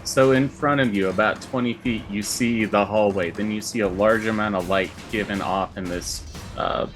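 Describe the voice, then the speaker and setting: A man with a fairly low voice runs a tabletop roleplaying game online as game master. He narrates and manages the players' turns, with soft music faintly behind him. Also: steady tone, moderate pace.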